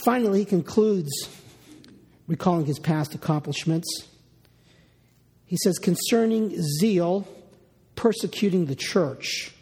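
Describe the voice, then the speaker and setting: A man's voice: high-pitched, a little slow, pauses often, darkish tone, slightly rough, in a large hall. A man preaching an expository Bible sermon, reading scripture aloud and then restating it in the first person.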